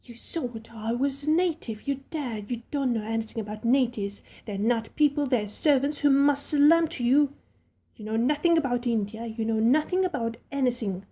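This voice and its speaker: A woman's voice: fairly high pitch, animated, moderate pace, hardly any pauses, dark tone, slightly rough. A woman doing a spoiled imperious little girl. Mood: furious. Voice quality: haughty and disdainful.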